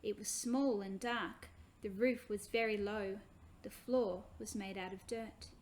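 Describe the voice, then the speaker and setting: A woman reading her own children's book aloud in an expressive, animated way. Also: mid-range voice, measured pace, a little hiss or hum.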